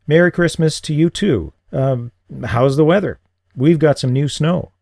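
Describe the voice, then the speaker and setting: A man voicing a lonely, regretful middle-aged father with a halting, earnest manner in audiobook dialogue. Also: on the high side, gravelly, a little mellow, no room sound, clean studio sound, voice pushed up. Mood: guarded, polite.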